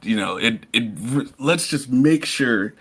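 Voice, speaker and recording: A man talks as a player in a tabletop game. His voice sits at an ordinary pitch, and he coaxes the others casually, repeating himself in a halting way. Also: lively intonation, fairly bright tone, husky.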